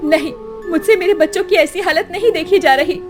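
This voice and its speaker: A woman's voice: very high voice, a little fast, music in the background. A woman voicing a poor, meek, suffering mother in a cartoon story, pleading and lamenting. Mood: distressed.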